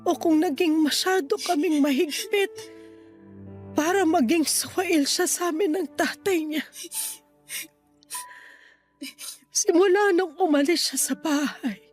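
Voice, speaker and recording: A woman playing a frail, ailing grandmother in a radio drama, speaking gently and wearily with sorrow. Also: extremely high pitch, a little slow. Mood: tearful, grieving. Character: frail, ailing grandmother near seventy, tender and resigned. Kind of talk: Tagalog radio drama acting. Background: background music.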